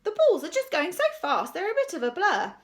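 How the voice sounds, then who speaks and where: A woman's voice: very high-pitched, wildly swooping pitch, fairly quick, voice pushed up, full character voice. A woman voicing a cheeky, slyly polite schoolgirl in a children's storybook, with exaggerated mock innocence. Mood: slyly teasing. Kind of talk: children's storybook read aloud.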